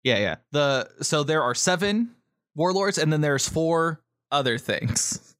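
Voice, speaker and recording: A man's voice: a little high, lively intonation, unhurried, fairly bright tone, clean tone, dry recording, studio quality. A man co-hosting a podcast in casual chat, explaining and prompting his partner, often checking in as he goes. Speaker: podcast co-host.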